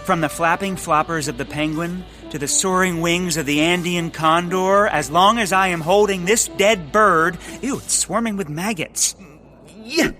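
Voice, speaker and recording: An actor playing a pompous, bumbling rich eccentric who makes grand speeches in an audio drama. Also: male voice, sits high, unhurried, sharp tone, faint music behind. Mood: grandiose.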